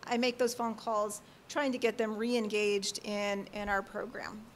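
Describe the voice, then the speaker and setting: A woman working as a school family support advisor, speaking loudly and earnestly as she explains her work at a public board meeting. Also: mid-range voice, little pitch movement, measured pace, a little hiss or hum.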